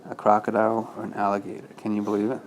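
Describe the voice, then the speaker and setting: A man's voice: fairly low pitch, monotone, racing, slight background noise. A man reading a bedtime story as a father to his child, patiently explaining in simple words with check-in questions.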